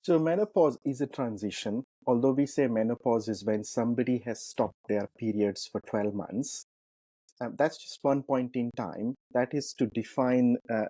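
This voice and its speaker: A man, a clinician guest answering interview questions thoughtfully with frequent pauses to think. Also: on the low side, mellow, no echo, low-quality recording. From India. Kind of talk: podcast interview.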